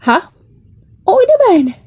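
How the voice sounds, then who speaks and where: A woman's voice: extremely high pitch, sing-song, slow pace, darkish tone, pitched above the performer's own voice, exaggerated voice. Playful young woman in love, voiced by a woman. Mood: flustered, teasing. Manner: teasing, coy, mock-annoyed. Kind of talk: romance audiobook dialogue.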